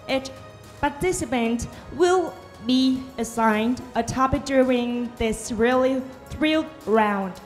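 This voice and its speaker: A woman hosting a pageant event in English, announcing names and rules in a scripted way. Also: high-pitched. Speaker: pageant host.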